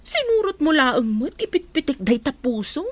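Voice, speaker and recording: Very high, animated teasing girlfriend, woman acting. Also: moderate pace. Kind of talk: dramatised story dialogue.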